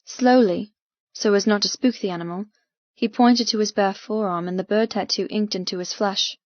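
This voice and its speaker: A woman's voice: medium pitch, steady tone, normal speed, dry recording, lo-fi sound. A woman narrating a first-person novel as an audiobook, with a dramatic, intense delivery.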